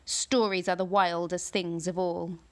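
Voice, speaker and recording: A woman reading aloud, voicing a huge ancient male tree monster with slow, booming declamation. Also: level tone.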